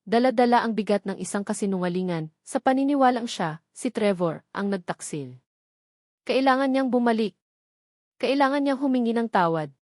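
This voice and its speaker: A woman with a Filipino accent reads a scripted romance drama as narrator, in a measured, dramatic storytelling manner with short pauses. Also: mid-range voice, a little mellow, no echo, clean studio sound.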